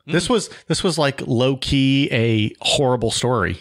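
A young man hosts a loose, joking podcast chat with friends. His pitch sits in the middle range, and he reads listener names in an easygoing way. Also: animated, measured pace. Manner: joking, runs the show and reads listener shout-outs. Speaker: podcast host. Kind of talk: casual podcast banter.

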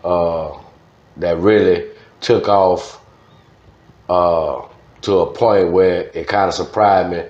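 An older man with a Louisiana Southern accent, reminiscing casually as an interview guest in an unhurried, folksy way. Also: sits low, fairly even intonation, a little mellow. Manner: unhurried, folksy, with frequent "man" and "you know". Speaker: interview guest, music promoter. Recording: roomy, dull lo-fi sound.

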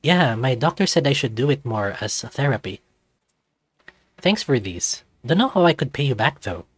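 A man's voice: medium pitch, very animated, moderate pace, slightly rough. Man as a laid-back big-brother beast. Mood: relaxed.

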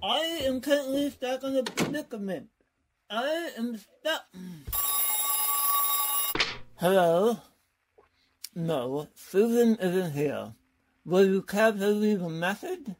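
A woman's voice: mid-range voice, animated, takes it slowly, noisy background. A woman playing a bumbling, hesitant male college professor in an old-time style radio comedy. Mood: exasperated. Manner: hesitant, dithering.

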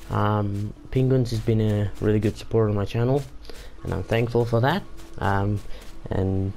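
A man's voice: on the low side, warm tone. A young man whose first language is Afrikaans, casually commenting over gameplay with many fillers and restarts. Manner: rambling, frequent fillers and restarts. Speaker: gaming YouTuber.

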